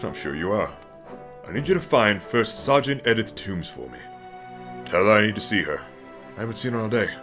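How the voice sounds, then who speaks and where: An actor playing a busy, distracted knight captain who gives orders. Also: male voice, on the low side, sing-song, brisk, music in the background. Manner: distracted, commanding. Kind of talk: fan audio drama.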